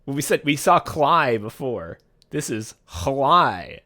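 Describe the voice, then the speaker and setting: A young man co-hosts a podcast in a loose, rambling way, laughing often and swearing freely. His voice sits at an ordinary middle pitch. He sounds relaxed and unscripted as he talks. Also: slow. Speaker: podcast co-host. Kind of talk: casual podcast chat.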